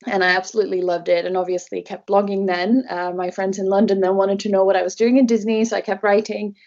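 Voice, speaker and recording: A woman's voice: mid-range voice, little pitch movement, fast, a little mellow, dull audio. A young woman from Norway, a native Norwegian speaker, answering interview questions conversationally in English as a travel blogger guest.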